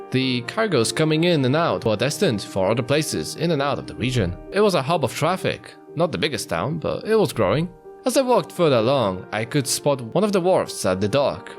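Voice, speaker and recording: A man voicing a confident adult cougar narrating his life in a dramatic visual novel read-aloud, with measured storytelling. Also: mid-range voice, lightly acted. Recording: faint guitar music behind.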